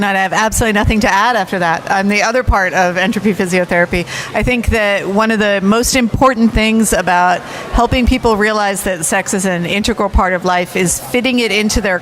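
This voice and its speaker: A woman, a physical therapist, speaks on a live conference panel in a thoughtful, slightly halting way. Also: medium pitch, fairly bright tone. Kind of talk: self-introduction on a live panel. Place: conference session with a live audience. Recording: live conference room with faint background noise.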